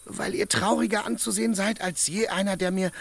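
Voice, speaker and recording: A man's voice: high-pitched, steady tone, fairly quick, vocal fry, a bit bright, exaggerated voice. A man in a German radio play, playing an earthy, anxious, down-to-earth squire who speaks plainly and exclaims. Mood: wry, concerned.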